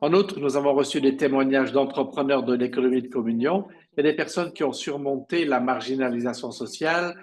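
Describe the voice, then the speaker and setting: A man interpreting conference speeches live, in a halting delivery with drawn-out pauses. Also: mid-range voice. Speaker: simultaneous interpreter.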